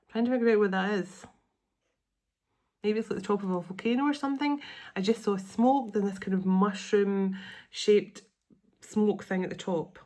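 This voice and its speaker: A middle-aged woman with a sniffly, congested voice, giving a casual spiritual channeling reading, with soft invocations and chatty, rambling asides. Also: medium pitch, moderate pace, pauses often. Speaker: spiritual channeler and tarot reader.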